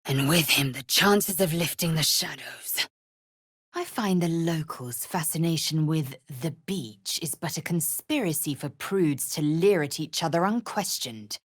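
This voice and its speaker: A woman's voice: deep voice, measured pace, fairly bright tone, breathy edge, no echo, studio quality, full character voice. A woman playing a fierce, proud alien warrior in a video game, haughty and clipped, with formal, disdainful phrasing. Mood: grave, measured. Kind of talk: video game character voice lines.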